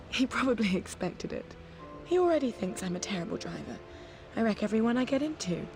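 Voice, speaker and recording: An actress playing a flirtatious, carefree, glamorous socialite in a video game cutscene, with a breezy way of talking. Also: female voice, fairly high pitch, animated, moderate pace. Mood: playful, wistful. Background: background music.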